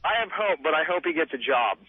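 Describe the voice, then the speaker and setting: A man's voice: fairly high pitch, expressive, quick pace, slightly rough, dry recording. A man phoning in to a talk show, chatting casually in a hesitant, earnest way. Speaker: caller to a radio show. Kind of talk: casual phone-in conversation. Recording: dull, lo-fi phone call on radio.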